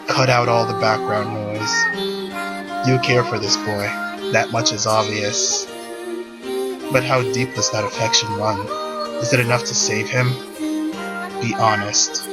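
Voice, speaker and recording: A man's voice: lowish voice, very animated, unhurried, long pauses, loud music behind the voice, rough recording. A man voicing a stern, cryptic spirit of Christmas future in a visual novel, speaking formally and plainly. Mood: solemn, probing. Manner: plain-spoken, formal, solemn.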